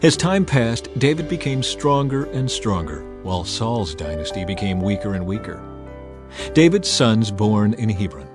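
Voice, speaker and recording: A man's voice: on the low side, big pitch swings, moderate pace. Solemn male scripture narrator over music.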